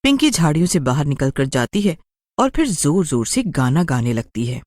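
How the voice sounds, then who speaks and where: A woman's voice: sits low, big pitch swings, moderate pace, darkish tone, no room sound, studio quality. A woman storyteller giving scripted, dramatic narration of a story.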